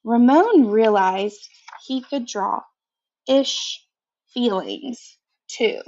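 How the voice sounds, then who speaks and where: Slow-paced, higher-voiced woman reading to children. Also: muffled recording. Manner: expressive, conversational, pauses to comment. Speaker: picture book reader. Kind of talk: children's read-aloud with casual asides.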